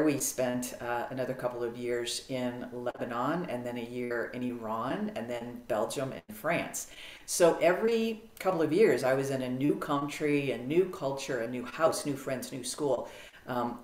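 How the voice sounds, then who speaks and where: A middle-aged American woman talking as a podcast guest on a video call, animated and clear with frequent ums. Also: extremely low pitch, normal speed. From United States. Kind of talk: casual interview storytelling. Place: home office, video call. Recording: roomy video-call audio.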